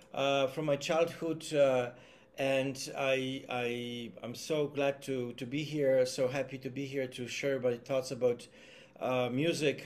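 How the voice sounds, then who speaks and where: A man's voice: mid-range voice, darkish tone. A man with a Polish accent giving a talk as a concert musician, hesitant with many fillers and restarts, partly reading a prepared text. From Poland. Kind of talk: presentation, partly read aloud.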